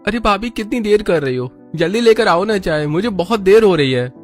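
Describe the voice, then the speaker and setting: A man acts out an eager young man from a family cartoon, stubbornly in love. His voice sits on the high side and pleads emphatically. Faint music plays behind him. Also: animated, normal speed. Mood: impatient. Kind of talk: Hindi family cartoon dubbing.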